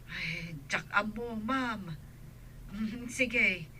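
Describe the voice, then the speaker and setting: An actress playing a deferential, dutiful household nanny in a radio drama. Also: female voice, medium pitch, extremely slow, bright, forceful tone, a little hiss or hum. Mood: respectful.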